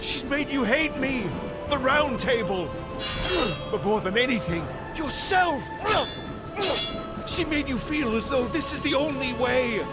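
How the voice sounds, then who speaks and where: A man's voice: extremely high pitch, animated, unhurried, pauses often, over loud music, rough recording. A man playing an aging, remorseful king in an audio drama, grave and earnest as he pleads with his son. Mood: desperate pleading. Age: middle-aged.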